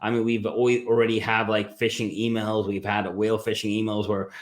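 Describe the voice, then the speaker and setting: A man's voice: on the low side, little pitch movement, a little fast. A man chatting casually about security as a co-host, full of "you know" and "like" fillers. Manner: filler-heavy, says "you know" and "like" a lot. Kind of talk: casual tech chat.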